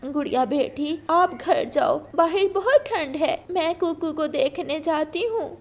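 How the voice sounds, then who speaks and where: A woman voicing a caring, worried old grandmother bird in a cartoon, with a gentle motherly manner. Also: sits high, unhurried.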